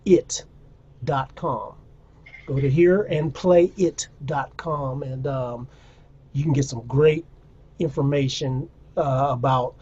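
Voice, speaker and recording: A man's voice: mid-range voice, a little mellow, dull audio. A man hosting a casual video call chat, laid-back and encouraging, calling his guest "man" and "bro". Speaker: host.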